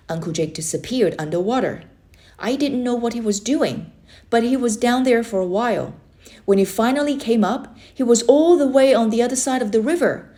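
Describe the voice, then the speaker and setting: A woman narrating a children's book aloud in an expressive storytelling style. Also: medium pitch. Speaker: storybook reader.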